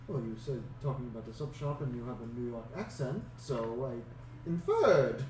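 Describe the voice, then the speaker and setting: A young man as game master, narrating in a measured, polite way, on a distant mic in an echoey room with background noise. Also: mid-range voice, very animated, crowd noise. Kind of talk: narrating and voicing characters in a tabletop game.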